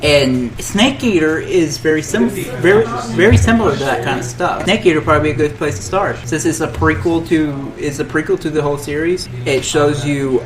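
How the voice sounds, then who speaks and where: A man talking casually and with strong opinions on a panel, rambling and often restarting and repeating his phrases. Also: on the high side. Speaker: podcast panelist. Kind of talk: casual opinionated chat about games and films. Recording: background crowd chatter.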